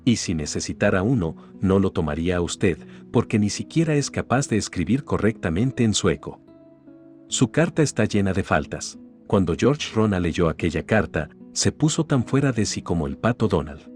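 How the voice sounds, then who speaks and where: A man narrating a self-help audiobook in a steady, even reading voice, reading the quotes in his own voice. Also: sits low, moderate pace, faint music behind.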